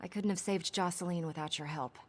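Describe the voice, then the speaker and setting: A woman playing a protective, composed older sister with an earnest, measured manner in game dialogue. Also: lowish voice, darkish tone, close to an everyday voice. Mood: relieved, grateful.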